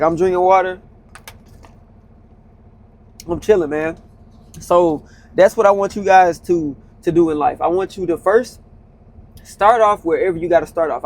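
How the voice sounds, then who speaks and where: A man in his twenties, a truck driver, giving a loose, slangy motivational talk from inside his truck cab. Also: a little high. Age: 20s. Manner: loose, conversational, slangy, repeats himself.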